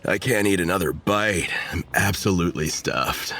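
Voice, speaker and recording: A man's voice: deep voice, moderate pace, bright, forceful tone. An actor voicing an overfed, groaning man in a video game. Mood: stuffed, weary. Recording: faint noise and ambience behind.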